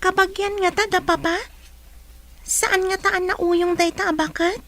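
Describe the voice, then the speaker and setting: A woman's voice: very high-pitched, flat delivery, a little slow, fairly bright tone. A woman playing an eager young child, a younger sibling, in a radio drama. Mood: curious, wary.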